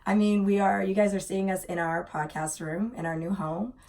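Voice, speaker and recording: A woman co-hosting a podcast from a home podcast room, rambling casually with laughs, her voice still slightly raspy after an illness. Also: mid-range voice, fairly even intonation, normal speed, a little mellow. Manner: chatty, rambling, with frequent laughs. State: voice recovering from bronchitis. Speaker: podcast co-host. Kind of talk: casual chat.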